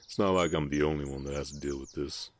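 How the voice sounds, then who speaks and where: A man voicing a big, gentle, guarded orc ranch hand with a Southern US accent, speaking politely and haltingly in an intimate Western ASMR roleplay. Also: very deep voice, fairly even intonation. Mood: resigned, plainspoken. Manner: halting, polite, soft-hearted drawl with folksy turns.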